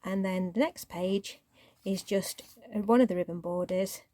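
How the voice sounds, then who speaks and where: A woman hosting a crafting channel, chatting casually through her project, a little croaky and sometimes dropping to a near-whisper. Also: mid-range voice, moderate pace. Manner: chatty, relaxed show-and-tell, drops to near-whisper while handling paper. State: croaky voice, clearing throat.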